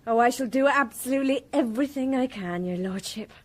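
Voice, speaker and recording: A woman's voice: a little high, a little fast, lightly acted. An actress playing an anxious, devoted young servant girl, eager and deferential, in a period radio drama.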